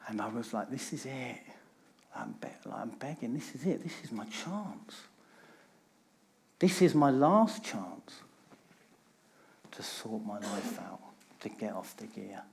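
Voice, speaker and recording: A man's voice: mid-range voice, lively intonation, slow, gravelly, long pauses, slight background noise. Guy giving a raw recovery talk, middle-aged, keeps repeating phrases for weight. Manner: candid, emphatic storytelling with repeated phrases. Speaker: conference speaker.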